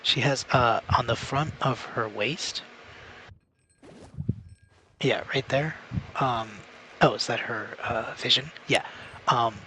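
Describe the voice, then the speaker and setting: A man's voice: medium pitch, takes it slowly, long pauses, pushed and bright, a little hiss or hum, rough recording. A man chatting casually as a co-commentator on a game stream. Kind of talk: casual chat.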